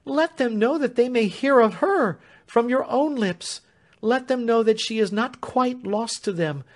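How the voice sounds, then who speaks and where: A man voicing a distraught, loyal female servant who pleads in a high, breathless voice. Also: exaggerated voice.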